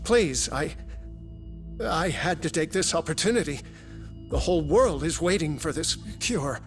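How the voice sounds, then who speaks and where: An actor playing a grave, zealous scientist in a video game cutscene, speaking in a measured, formal, insistent way. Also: male voice, on the high side, expressive, faint music behind. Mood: anguished. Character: coldly obsessed male scientist, grave and zealous. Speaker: medical researcher.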